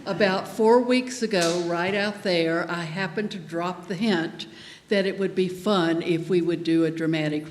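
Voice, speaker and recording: Woman, slow-paced, chatty halting speech, event organizer, reverberant hall, audience present. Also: medium pitch. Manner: chatty, halting, addressing the room. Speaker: event organizer giving thanks. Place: large echoey hall with an audience. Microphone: stage microphone. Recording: echoey hall, audience noise, faint hiss.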